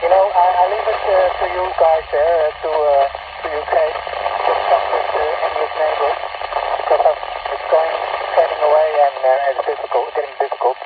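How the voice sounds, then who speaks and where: A man's voice: on the high side, fairly even intonation, normal speed, far from the mic. A Dutch man with a Dutch accent chatting casually as a CB radio operator over the air. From Netherlands. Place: received over long-distance CB radio. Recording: very noisy, narrow CB radio signal.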